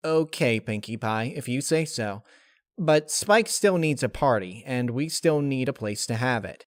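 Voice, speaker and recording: A man voicing a brash but trusting female cartoon pegasus in a direct, loud storybook reading. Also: medium pitch, lively intonation, unhurried, no room sound, studio quality, lightly acted. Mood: agreeable. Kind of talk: dramatic fan-fiction character reading.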